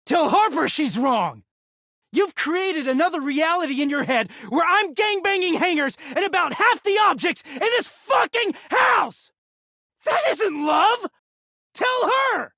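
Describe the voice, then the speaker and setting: A man voicing a wounded, conflicted living garment in a video game, husky and emotional, confiding with some swearing. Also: very high voice, animated, a little slow, sharp tone, dry recording, clean studio sound, full character voice. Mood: furious, frantic.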